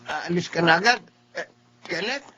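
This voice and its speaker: A man's voice: high-pitched, normal speed, crowd noise, close to an everyday voice. A man acting a small, caring dwarf father, meek but stubborn, in a Filipino radio drama. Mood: gentle, fatherly. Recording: dull, muffled audio.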